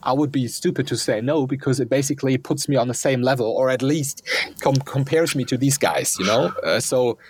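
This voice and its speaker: A man hosting a podcast interview, chatty and eager, dropping quick interjections into the guest's story. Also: medium pitch, breathy edge, no room sound. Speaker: podcast host. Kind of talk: casual interview talk.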